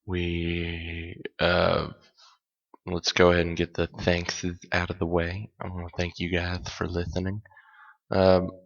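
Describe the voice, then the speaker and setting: Hoarse, deep-voiced man hosting a podcast. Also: completely flat delivery, slow, dull audio. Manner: rambling and self-correcting, with light jokes. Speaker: podcast host. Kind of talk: casual solo podcast talk.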